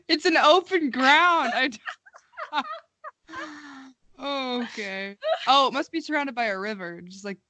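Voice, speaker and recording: Bright, close-sounding woman bantering. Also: high voice, animated, slow, long pauses, no room sound. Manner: amused, teasing, incredulous. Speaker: podcast co-host. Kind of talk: casual comic banter.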